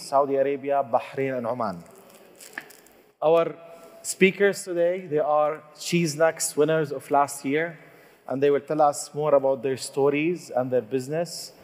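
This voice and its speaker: A man's voice: medium pitch, darkish tone. Guy moderating a panel, formal, medium voice, lots of hall echo. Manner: formal, reads introductions with pauses. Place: conference hall. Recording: hall reverb.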